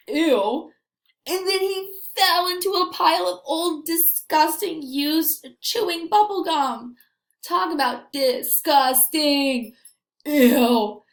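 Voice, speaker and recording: A woman teaching young children in a read-aloud lesson, animated and expressive as she puts questions to them. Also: high-pitched, reverberant. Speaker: elementary school teacher.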